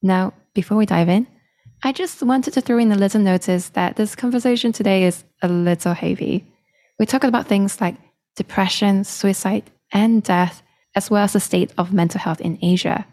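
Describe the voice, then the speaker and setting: A woman hosting a podcast, reading her episode introduction in a measured way. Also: medium pitch, darkish tone. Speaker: podcast host and producer.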